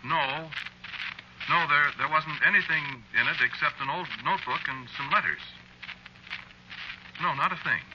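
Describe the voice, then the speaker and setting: An actor playing a troubled working man who tells his story in a halting, confiding, conversational way, in 1940s radio drama style. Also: on the high side, close to an everyday voice. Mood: nervous, evasive. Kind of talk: 1940s radio drama first-person narration. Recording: noisy, muffled old radio broadcast.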